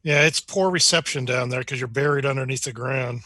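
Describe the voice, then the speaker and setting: A man's voice: mid-range voice, fairly quick, sharp tone, dry recording, studio quality. A man chatting casually as a club member at an online meeting, speaking into a video call microphone. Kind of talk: casual chat.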